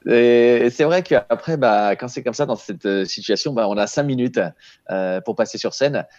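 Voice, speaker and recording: A man's voice: mid-range voice, a little slow, a little mellow, no echo. A man in his thirties, a comedian guest, chatting easily over a video call from home, laughing often. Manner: easygoing, laughs often, many fillers. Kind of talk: relaxed interview chat. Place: small room at home. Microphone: earphone headset on video call. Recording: slightly dull call audio.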